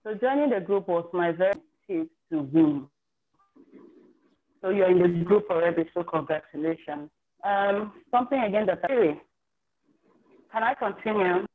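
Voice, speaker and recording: A woman's voice: fairly low pitch, expressive, moderate pace, pauses often. A woman speaking over a video call, her voice thin and phone-like. She tells her story in a candid, chatty way, circling back to fix her wording as she goes. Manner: chatty and candid, with self-corrections. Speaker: participant sharing her exam success story.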